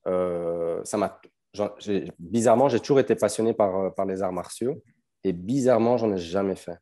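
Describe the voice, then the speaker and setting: Low, dark-voiced Belgian man. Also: moderate pace. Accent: Belgian French. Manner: relaxed, hesitant, many fillers and restarts. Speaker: guest, strength coach. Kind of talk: casual podcast conversation.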